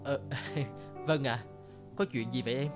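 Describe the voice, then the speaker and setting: A man's voice: mid-range voice, a little slow, natural voice. A man voicing a polite, composed young company director in a cartoon, earnest. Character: courteous, principled male company director, devoted husband. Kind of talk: cartoon dubbing. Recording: music under the voice.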